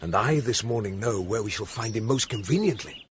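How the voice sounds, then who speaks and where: A man's voice: on the low side, animated, a little fast, lightly acted. Lyrical actor as an awestruck night watchman. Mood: hushed, reverent. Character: awestruck, devout watchman guarding a castle at night. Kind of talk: classical Shakespearean radio drama acting.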